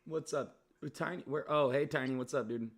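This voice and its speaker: A male co-host on a live online audio chat with a mid-pitched voice. He talks in a relaxed, rambling way, full of ums and likes, and he sounds keen on what he is describing. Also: brisk, slightly rough. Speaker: co-host running the stream. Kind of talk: casual live-stream chat.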